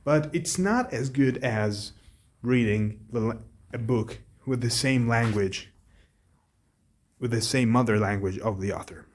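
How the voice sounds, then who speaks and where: A man whose first language is Arabic speaks in a relaxed, reflective monologue. His voice is husky and of middling pitch, and he pauses, backtracks and fills gaps as he searches for words. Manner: thoughtful, halting, with many restarts and fillers. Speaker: vlogger. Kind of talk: casual monologue.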